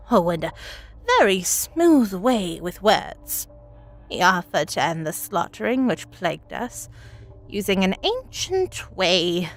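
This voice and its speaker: A woman voicing a very elderly village woman, telling a grim tale from her childhood in a folksy, deliberate, dramatic manner. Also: mid-range voice. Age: old woman as played. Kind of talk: dramatic first-person storytelling.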